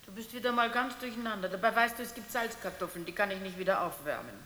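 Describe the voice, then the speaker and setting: A woman's voice: medium pitch, quick pace, bright, forceful tone, a little hiss or hum, close to an everyday voice. An actress playing a practical, nagging middle-aged mother in a radio play. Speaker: actor. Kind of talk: German radio play acting. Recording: long reverb.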